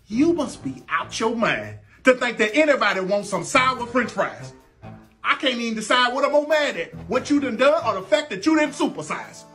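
A young man hosting a comedy skit as a judge, muttering low commentary and then loudly rapping rhyming sentences. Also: sing-song, normal speed, faint music behind. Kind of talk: comedic food review skit with rhymed verdicts. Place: small room dressed as a courtroom, indoors.

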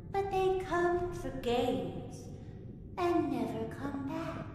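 A woman voicing a giant mother toy monster in a horror game, theatrical and mock-motherly, with singsong, drawn-out phrasing that carries menace. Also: fairly high pitch, very echoey, long reverb tail, noisy background. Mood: bitter, mournful.

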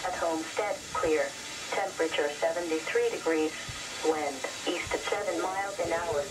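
An American woman reading an automated weather radio bulletin in measured, impersonal, clipped phrases. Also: fairly low pitch. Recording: noisy weather radio broadcast, filmed off television.

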